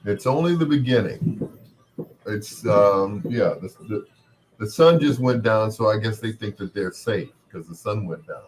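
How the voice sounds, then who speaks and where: A man with a deep, booming voice, hosting a late-night radio show in a relaxed, chatty way through a processed studio mic. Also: a little slow. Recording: noisy background with booming fireworks. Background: firecrackers booming.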